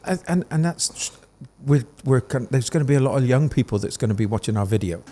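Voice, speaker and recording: A man's voice: mid-range voice, expressive, quick pace, mellow. A man hosting a podcast interview, talking in an emphatic, encouraging way. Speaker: podcast host. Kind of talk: conversational interview.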